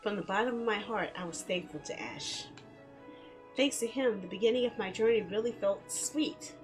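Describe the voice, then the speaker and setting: A woman's voice: mid-range voice, normal speed. Woman game streamer, faint music behind. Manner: giggly, playful, chattering to herself. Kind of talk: casual livestream chat with visual novel read-alouds.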